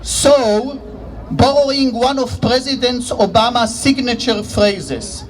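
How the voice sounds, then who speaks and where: High-voiced Israeli man giving a speech. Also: rough recording. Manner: measured, formal delivery with dry humor. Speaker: economics professor. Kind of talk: prepared rally speech. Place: outdoor rally.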